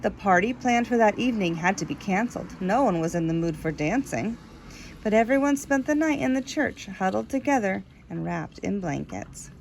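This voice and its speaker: Female storybook reader: expressive read-aloud delivery, mid pitch, creaky texture, lingers on key words. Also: moderate pace, a little hiss or hum, poor audio. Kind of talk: expressive read-aloud narration of a children's book, with casual asides.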